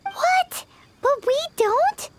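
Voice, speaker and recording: A woman's voice: very high-pitched, expressive, drawn-out words, over music, heavily acted. A woman voicing a tiny, childlike, easily flustered companion sprite in a video game.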